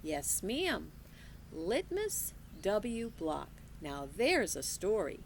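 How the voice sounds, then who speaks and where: A woman reading aloud as an elderly Southern librarian, proper and a little dramatic, with a gravelly edge. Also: medium pitch, huge pitch swings, very slow, a little hiss or hum, full character voice. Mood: genteel.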